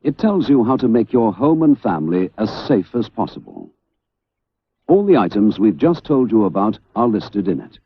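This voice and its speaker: A British man reading a 1970s public information film script in a measured, formal, instructional way. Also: fairly low pitch, very animated, breathy edge, no echo. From United Kingdom. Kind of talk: scripted narration, 1970s civil defence announcement. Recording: dull, band-limited 1970s film soundtrack.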